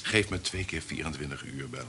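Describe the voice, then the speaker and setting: An actor playing a sly, evasive, corrupt privy councillor in a historical radio play, insinuating and quietly menacing. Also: male voice, a bass voice, fairly quick, full character voice. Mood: cautious, warning. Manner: insinuating, swinging from hushed to loud.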